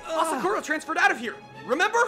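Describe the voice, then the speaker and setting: A performer in an anime fan dub playing a sardonic teenage high-school boy, dramatic and disbelieving. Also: expressive, fast, music in the background. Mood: agitated, demanding.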